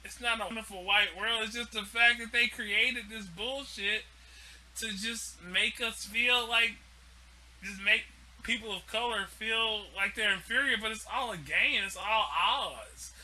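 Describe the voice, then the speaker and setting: Very high-voiced man ranting profanely. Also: measured pace, pushed and bright, poor audio. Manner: profane, heated, repetitive ranting. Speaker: live stream host. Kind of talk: ranting live stream monologue.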